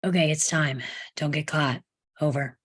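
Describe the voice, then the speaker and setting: A woman reading the part of a bossy, crude young teenage boy in a screenplay table read, with a husky voice and a blunt, pushy manner. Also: low voice, unhurried.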